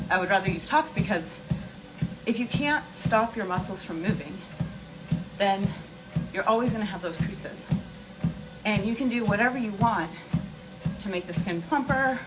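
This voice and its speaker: A woman's voice: mid-range voice, poor audio. A woman hosting a casual skincare livestream, answering viewer questions and explaining emphatically, repeating key points with rhetorical questions. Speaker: skincare and wellness livestream host.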